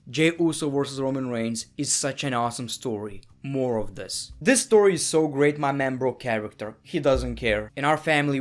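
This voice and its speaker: A man from Lithuania with a Lithuanian accent, hosting a joking, sarcastic wrestling reaction commentary that swings from loud bursts to mumbled asides. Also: a little high. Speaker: YouTube wrestling reaction host. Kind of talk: casual comedic commentary.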